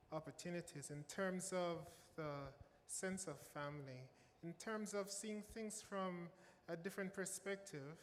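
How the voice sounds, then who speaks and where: A man's voice: a little high, slight background noise. Jamaican man speaking in a large hall. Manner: emphatic, repeats phrases for stress. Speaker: music professor on a panel. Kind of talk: panel remarks. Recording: reverberant hall with faint ambience.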